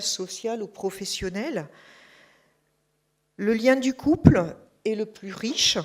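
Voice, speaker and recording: A woman hosting an evening lecture, formally introducing the guest speaker and reading his career from notes. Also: on the high side. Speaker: event host introducing a speaker. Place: lecture hall.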